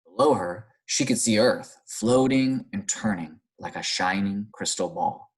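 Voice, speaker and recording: A man teaching young children through an expressive read-aloud, pausing to explain and ask encouraging guiding questions. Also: fairly low pitch, husky, rough recording. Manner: animated, encouraging, explanatory, pauses to question listeners. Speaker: primary school teacher reading aloud.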